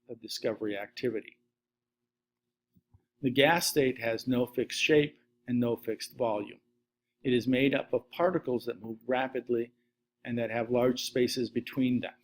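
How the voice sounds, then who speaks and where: A man's voice: mid-range voice, moderate pace. Middle-aged male teacher. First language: Latvian. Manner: steady list-reading delivery. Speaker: science teacher. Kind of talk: recorded slide lesson.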